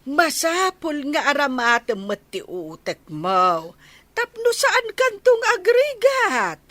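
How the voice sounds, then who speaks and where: A woman's voice: high-pitched, huge pitch swings, slow, a bit bright, dry recording, full character voice. A woman playing an exaggerated village woman in a comic radio drama. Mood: exaggerated nagging.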